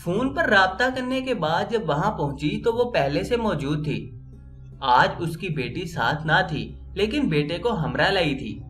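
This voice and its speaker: Female storyteller for sentimental fiction: expressive, animated pitch, light music bed. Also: measured pace. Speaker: storyteller, narrator. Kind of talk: dramatic first-person scripted narration of an Urdu story.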